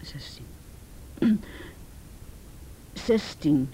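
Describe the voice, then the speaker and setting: A woman's voice: expressive, very slow, warm tone, in a whisper, exaggerated voice. A woman acting a wary, self-deprecating sixteen-year-old schoolgirl in a radio play, hesitant and repeating her words. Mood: timid, downcast. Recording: faint hiss and low rumble.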